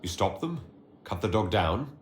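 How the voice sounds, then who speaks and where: An actor playing a commanding, composed hero in a video game, speaking directly and probingly. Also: male voice, lowish voice, sing-song, moderate pace. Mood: frank. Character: commanding, direct male hero leading an organisation. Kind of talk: dramatic video game dialogue. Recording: echoey space, faint background noise.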